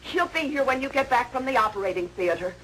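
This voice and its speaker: A woman playing a firm, patient hospital nurse in a situation comedy, speaking with brisk authority. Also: a little high, expressive, close to an everyday voice. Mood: reassuring. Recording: noisy vintage broadcast.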